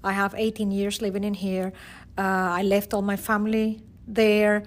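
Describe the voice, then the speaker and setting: A woman's voice: medium pitch, level tone, moderate pace. Earnest woman with a Venezuelan accent. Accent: Venezuelan Spanish. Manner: earnest, emotional, hesitant with fillers and restarts. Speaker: teacher and guest. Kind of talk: personal testimony.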